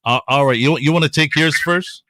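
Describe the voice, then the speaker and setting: A man's voice: fairly high pitch, brisk, bright, forceful tone, no echo. A male radio co-host in casual live chat, reading out greetings from listeners.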